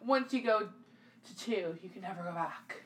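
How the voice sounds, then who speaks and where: Loud, bright-voiced young woman podcaster. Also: mid-range voice, moderate pace, a little hiss or hum. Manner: chatty, teasing, lots of "like". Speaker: podcast co-host. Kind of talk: casual chat. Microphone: podcast microphone.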